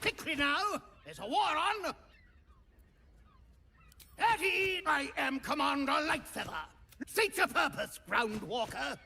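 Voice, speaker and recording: Game role: puffed-up avian officer, very high, gravelly, barking and swooping. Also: male voice, expressive, slow pace, long pauses, sharp tone, heavily acted. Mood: brusque, commanding. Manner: barking, clipped, self-important. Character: pompous bird commander, blustering military officer. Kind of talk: video game character acting.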